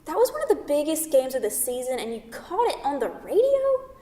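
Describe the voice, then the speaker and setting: A woman in a staged play reading, playing a sharp-tongued, sarcastic young woman who scolds emphatically. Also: high voice, sing-song, brisk. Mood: dry, needling. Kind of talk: staged reading of a comedic two-person play. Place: theatre stage, picked up from a distance. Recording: echoey theatre hall, distant microphone.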